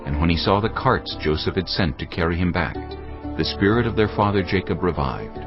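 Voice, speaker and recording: A man's voice: sits low, lively intonation, brisk. A man narrating scripture as a dramatic scripted reading. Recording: dull audio with clear music bed.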